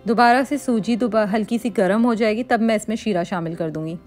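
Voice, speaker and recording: A woman hosting a cooking channel, calmly explaining a recipe step by step in a voice-over and mixing English words into her talk. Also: medium pitch, brisk, soft background music. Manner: calm, instructive, mixes English words into Hindi.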